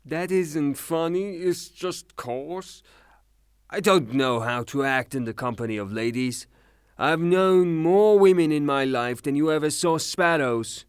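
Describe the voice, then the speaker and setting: A man's voice: on the high side, expressive, measured pace, clear, resonant tone, no room sound, studio quality. A man acting in a theatrical audio drama as a furious, rude creditor given to blustering, sarcastic tirades. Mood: mock-polite, sarcastic. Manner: blustering, declamatory, sarcastic, long rhetorical tirades. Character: furious, rude creditor, a ranting misogynist gentleman.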